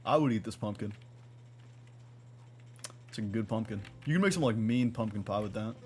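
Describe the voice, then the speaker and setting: A man's voice: on the low side, moderate pace, a little mellow. A man giving casual live gameplay commentary as a streamer, musing aloud in a rambling way. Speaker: game streamer.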